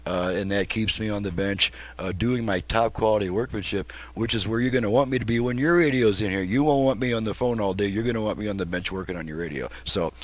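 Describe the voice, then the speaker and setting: A man's voice: on the low side, fast talker, few pauses. A slightly tired man giving a casual, rambling, folksy demonstration as a repairman showing his work. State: a bit fatigued. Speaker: car radio repairman presenting his work.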